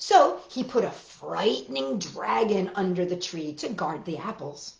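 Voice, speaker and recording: A middle-aged woman hosting a children's storytime, with an expressive, storytelling way of talking, recorded in a living room. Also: medium pitch, creaky. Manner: animated, expressive, deliberate phrasing when reading. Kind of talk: storytelling for children, talk and picture-book reading. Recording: roomy echo, dull lo-fi sound.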